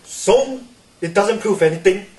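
Man acting a very high-pitched animal comrade. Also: expressive, measured pace. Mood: defensive. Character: preachy farm animal comrade, protesting under accusation. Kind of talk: amateur skit acting. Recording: echoey room, noisy camcorder sound.

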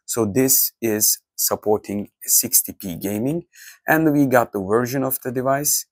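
A man, a tech reviewer giving an off-the-cuff walkthrough of projector menus in a halting way, with many restarts. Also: medium pitch, dry recording. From Turkey. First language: Turkish.

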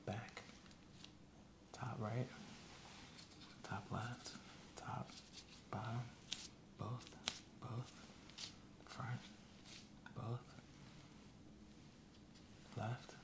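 A man playing the patient in an ASMR exam roleplay, giving quiet, terse, even answers. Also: mid-range voice, extremely slow, creaky, pauses often, reverberant, very noisy. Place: small indoor room set up as an exam room.

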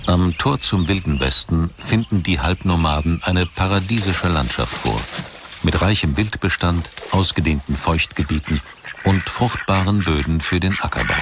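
A man reading scripted documentary narration in a measured, articulate manner. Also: low-pitched, background noise.

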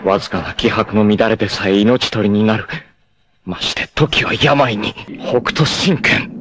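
A proud, fierce young warrior from a 1980s anime. His voice sits fairly low, and his lines come grave and intense, breaking into anguished shouts. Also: measured pace, slight background noise. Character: proud young male martial arts warrior, fierce and devoted.

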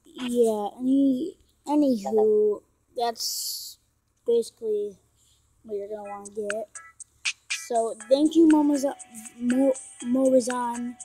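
A young woman chatters through a mobile game glitch, apologetic and full of ums. Her voice sits a little high, and loud game music plays under it from a phone recording. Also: rough recording. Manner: chatty, apologetic, rambling with many fillers. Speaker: gaming YouTuber. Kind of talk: casual screen-recorded game tutorial. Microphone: phone microphone.

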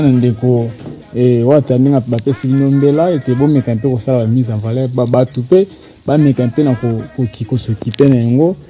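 A man speaks as a field reporter, recorded outdoors on open land. He talks in an animated way at an ordinary pitch, with music running clearly behind him. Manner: animated, switching between Lingala and French.

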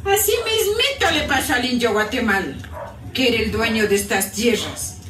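Actress for fiery Ecuadorian Andean woman campaigner in radio drama, emphatic, very varied pitch, echoey far-off playback. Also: animated, normal speed, close to an everyday voice. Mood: earnest, urgent. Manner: emphatic, accusatory. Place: radio drama played back at a live stage show. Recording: echoey playback in a hall from far off, faint music.

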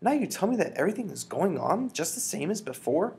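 Storybook role: a man reading as an eager, frightened young boy-mouse, high and pleading, lively pitch. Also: fast talker, slightly rough, exaggerated voice. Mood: anxious, pleading. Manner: high, urgent, exclaiming.

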